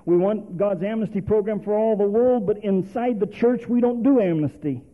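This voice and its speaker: High-voiced preacher on a tinny recording. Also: male voice, moderate pace. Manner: call-and-response preaching, rhetorical questions. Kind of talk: sermon. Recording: tinny phone-like sermon recording.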